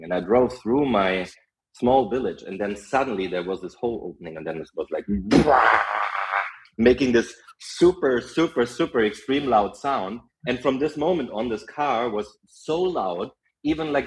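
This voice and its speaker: A young man with a German accent, a podcast guest telling lively stories in casual chat, full of fillers. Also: medium pitch, low-quality recording. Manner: animated storytelling, frequent fillers and laughs.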